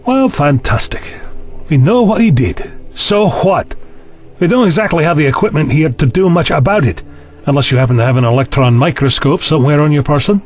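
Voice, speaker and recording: A man's voice: fairly high pitch, lively intonation, fairly quick, soft background music, lightly acted. A man playing a sarcastic young official. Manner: dry, sarcastic. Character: sardonic young male official, skeptical. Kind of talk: audio drama acting.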